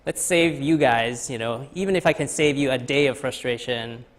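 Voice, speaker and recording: A man from Thailand in his thirties giving a conference talk in a lecture hall, halting with many fillers and restarts. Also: mid-range voice. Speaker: guest speaker.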